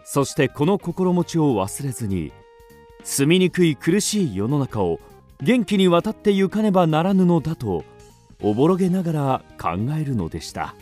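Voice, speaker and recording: A man narrating a radio drama story in a dramatic, expressive storytelling manner. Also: fairly high pitch, moderate pace, soft background music.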